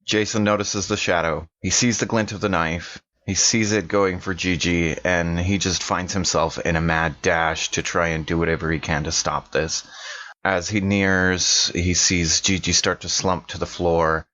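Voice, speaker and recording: A man's voice: low voice, steady tone, measured pace, pushed and bright. A man playing a tabletop game, casually improvising his character's actions, in a slightly muffled recording.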